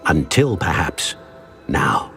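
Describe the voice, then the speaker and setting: An actor playing a solemn old wizard who guards ancient secrets, speaking in a formal, measured, grave way for a video game. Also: male voice, a bass voice, big pitch swings, vocal fry, a bit bright, soft background music, full character voice. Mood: measured, cryptic. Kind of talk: scripted video game voice acting.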